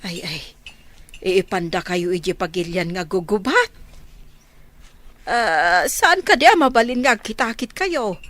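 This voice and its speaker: A woman's voice: mid-range voice, expressive, measured pace, long pauses, a bit bright. A woman acting a worried, exclaiming village auntie in a radio drama, with an Ilocano accent. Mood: alarmed, fretting.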